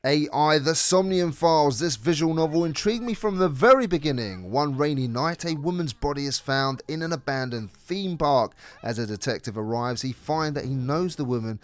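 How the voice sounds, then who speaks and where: A man's voice: on the high side, lively intonation, moderate pace, few pauses, dry recording. A man presenting a scripted video game review as narrator.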